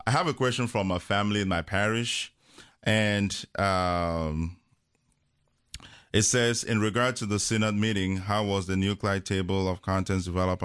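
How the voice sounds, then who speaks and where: A man from Cameroon, a parish priest chatting on a radio call-in show, talking slowly with many fillers and chuckles. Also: sits low, fairly bright tone, smooth, no room sound, clean studio sound. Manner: slow, hesitant, many fillers, chuckles often. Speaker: priest guest. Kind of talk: casual radio chat. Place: radio studio.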